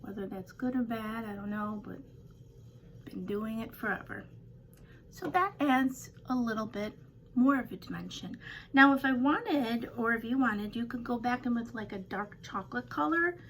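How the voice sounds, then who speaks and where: An older woman with a slightly raspy voice, chatting casually through a home makeup tutorial with rambling, self-deprecating asides. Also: fairly high pitch, moderate pace, a bit bright, a little hiss or hum. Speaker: beauty vlogger.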